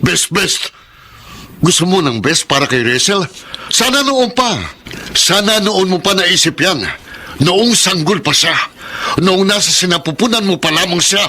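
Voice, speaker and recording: A man's voice: high voice, expressive, measured pace, bright, forceful tone, slight background noise, exaggerated voice. A man with a Filipino accent playing a middle-aged, bitter, devoted single father in a radio drama, emphatic and accusing. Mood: furious, indignant. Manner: emphatic, accusing, repeats phrases for force.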